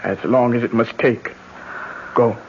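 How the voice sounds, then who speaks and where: An actress playing a vengeful older voodoo priestess in a classic radio drama, speaking slowly in solemn, incantatory tones. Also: female voice, extremely low pitch, fairly even intonation, a little mellow, slight background noise. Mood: grave, resolute. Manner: slow, incantatory, portentous. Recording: dull lo-fi radio recording.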